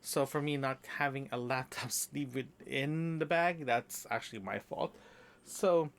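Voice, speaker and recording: A man's voice: mid-range voice, unhurried. A man recording a casual solo audio diary at home, rambling with many fillers and restarts. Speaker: podcast host. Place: apartment room.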